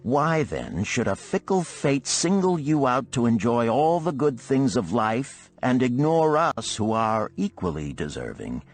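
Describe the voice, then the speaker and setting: Male narrator as envious poor storybook fellow, reproachful, formal, animated pitch, measured pace. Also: soft background music. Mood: aggrieved, envious. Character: aggrieved, envious poor male friend of a rich man. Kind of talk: storybook character reading.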